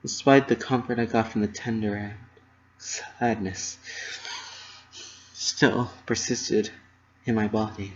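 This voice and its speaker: A man's voice: on the low side, steady tone, slow, pauses often. A man narrating a story as an earnest, emotive dramatic reading, on a dull recording. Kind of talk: dramatic reading of fan fiction.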